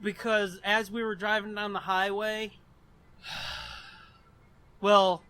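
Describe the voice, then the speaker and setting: A man's voice: high-pitched, flat delivery, takes it slowly, pushed and bright, smooth. A man co-hosting a podcast in casual comedic banter, crude and sardonic, swearing freely. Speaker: podcast co-host.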